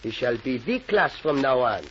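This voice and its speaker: A stern, domineering Nazi official played by a man barks clipped orders in an old 1940s radio play. His voice is mid-pitched, and the recording is muffled with clear background noise. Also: very animated, normal speed, lightly acted. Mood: haughty. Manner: imperious, clipped commands. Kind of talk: 1940s radio drama acting.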